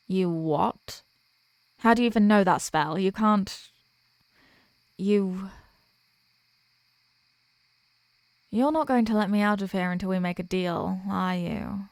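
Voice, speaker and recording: A woman's voice: medium pitch, gravelly, no echo. A woman playing a flirtatious female archdemon in a roleplay audio drama, with a coy, smug and teasingly formal manner. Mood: startled, annoyed.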